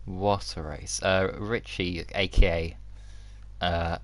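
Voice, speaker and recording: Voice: sits low, fairly even intonation, takes it slowly, dry recording. A host commentator on a sim racing livestream, enthusiastic, with many ums and exclamations. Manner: enthusiastic, filler-heavy.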